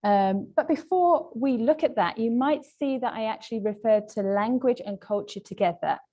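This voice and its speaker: Mellow-voiced woman presenting a webinar. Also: on the high side, normal speed. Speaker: webinar presenter, language trainer. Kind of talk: webinar lesson, semi-scripted presentation.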